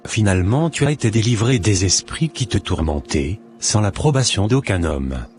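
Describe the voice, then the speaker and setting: Casting: male actor as devout, forgiving cartoon husband. Low-pitched, earnest, measured delivery, light music underneath. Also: lively intonation, a little mellow, natural voice.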